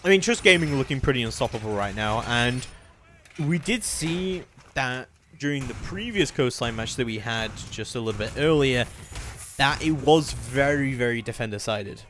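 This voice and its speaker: A man's voice: medium pitch, animated, moderate pace, fairly bright tone, slight background noise. A man doing live play-by-play commentary on a competitive video game match.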